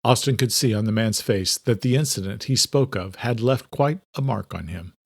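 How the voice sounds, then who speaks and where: Gravelly male story narrator, very dry sound. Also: fairly low pitch, normal speed, no echo. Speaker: audiobook narrator.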